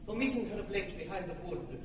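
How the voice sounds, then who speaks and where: A man's voice: sits high, expressive, fast talker, dull audio, background noise. An actor playing a proud, commanding fairy king, speaking in regal, declamatory verse in a classic radio drama. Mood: tender. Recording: roomy distant old radio recording.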